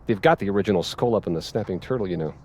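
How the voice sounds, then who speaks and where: A man playing a self-important, smoothly condescending author in a video game, talking in a pompous but conversational way. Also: deep voice, lively intonation, racing, a little mellow. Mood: smug, casual. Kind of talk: naturalistic video game voice acting.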